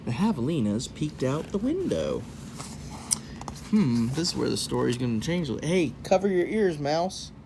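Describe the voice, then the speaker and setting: A woman's voice: low voice, big pitch swings, unhurried, gravelly, warm tone, a little hiss or hum. A woman reading a children's book aloud expressively, breaking off for informal, explanatory asides to young listeners. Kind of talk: expressive storytelling with chatty asides.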